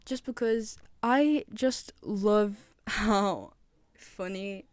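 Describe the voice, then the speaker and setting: A woman's voice: mid-range voice, drawn-out words, no echo. A woman presenting casual fan commentary in an enthusiastic way, repeating phrases for emphasis. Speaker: video presenter.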